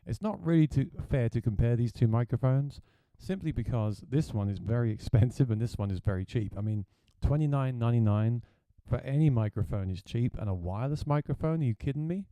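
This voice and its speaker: A man with a deep voice, a gigging musician, chatting plainly through a gear review. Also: lively intonation, brisk, hardly any pauses, dark tone, dry recording.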